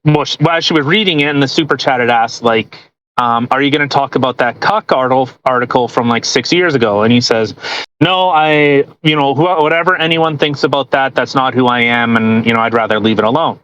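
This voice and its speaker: A man's voice: mid-range voice, fairly quick, fairly bright tone, no room sound, dull audio. A man hosting a podcast in casual, mocking commentary with crude joking. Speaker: podcast host.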